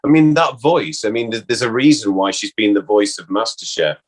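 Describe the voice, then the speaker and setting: A man, an actor being interviewed, talking casually and reflectively, haltingly repeating words as he thinks aloud. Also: on the low side, lively intonation.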